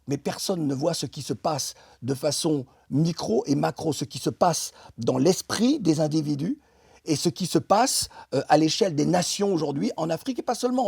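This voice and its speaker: A middle-aged man speaks with impassioned emphasis, building his argument and then trailing off into hesitant restarts. His voice sits fairly high but sounds soft and warm, with a light husk. It is recorded close and dry in a studio. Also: creaky, breathy edge, dry recording. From France. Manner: emphatic, many pauses and restarts. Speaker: interview guest. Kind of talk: impassioned political interview talk.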